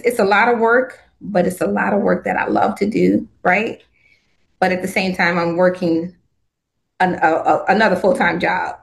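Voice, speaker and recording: Woman in her fifties, upbeat and chatty, laughs a lot, designer guest. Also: mid-range voice. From Southern California. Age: 50s. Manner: upbeat, chatty, laughs often, many restarts. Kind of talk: casual interview chat.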